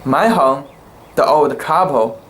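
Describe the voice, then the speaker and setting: Male amateur storyteller, non-native English speaker, slightly high pitch, very unhurried, careful and halting. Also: fairly bright tone, slight background noise. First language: not English. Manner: halting, careful delivery with occasional stumbles. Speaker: amateur reader. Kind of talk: dramatic reading of a sea story.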